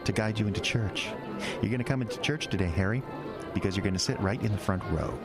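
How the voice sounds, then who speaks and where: An actor playing an earnest, self-righteous young pastor with a scheming streak in an audio drama, speaking insistently. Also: male voice, lowish voice, fast, over loud music, lightly acted. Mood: coy, pushy.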